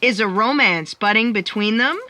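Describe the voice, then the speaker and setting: An actress playing an imperious, exasperated editor-in-chief in comic audio theatre, curt and impatient. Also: female voice, fairly high pitch, normal speed, bright, forceful tone. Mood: dramatic teasing. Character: bossy, exasperated female editor-in-chief of destiny broadcast.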